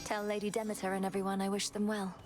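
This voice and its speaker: A woman's voice: medium pitch, monotone, fast talker, music in the background, lightly acted. A woman playing an earnest, dutiful young witch princess in a fantasy game, speaking formally and courteously with resolve. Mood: courteous, warm. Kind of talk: scripted game dialogue.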